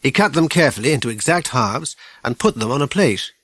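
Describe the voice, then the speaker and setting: A British man narrates a children's story from a script. His voice sits at a middling pitch and the phrasing is measured and clear. The recording is dry, with no room echo. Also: lively intonation, fairly bright tone. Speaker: audiobook narrator.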